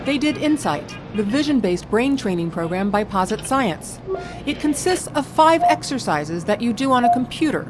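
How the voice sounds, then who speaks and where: A woman giving scripted narration for a documentary in a measured, clear way. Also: a little high, very animated, loud music behind the voice.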